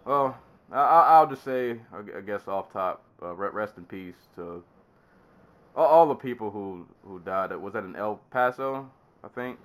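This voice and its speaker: A man's voice: on the low side, animated, normal speed. A man hosting a casual podcast while congested with a summer cold, laughing and coughing often. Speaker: podcast host.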